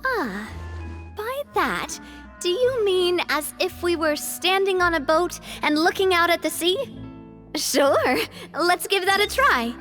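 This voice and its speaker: A woman's voice: very high voice, big pitch swings, slow, pushed and bright, soft background music, exaggerated voice. An actress playing a confident young woman who leads a guild, decisive and upbeat, in a game cutscene. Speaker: guild leader. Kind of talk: video game cutscene dub.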